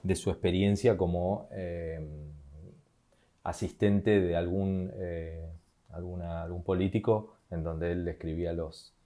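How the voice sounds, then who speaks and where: Deep voice, mellow tone, Argentine man, hesitant podcast co-host. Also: little pitch movement, slow pace. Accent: Argentine Spanish. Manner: hesitant, many fillers and repeated words. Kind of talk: casual chat.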